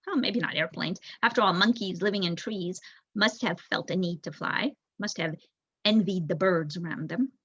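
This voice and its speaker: A young woman voicing a thoughtful, bookish male rat elder telling a storybook history in a measured, reflective way. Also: lowish voice, fairly bright tone, slightly rough, no echo. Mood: pondering. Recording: dull lo-fi recording.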